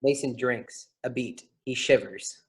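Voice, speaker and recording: A man's voice: medium pitch, measured pace, breathy edge. A man reading out stage directions as scripted narration for a play reading.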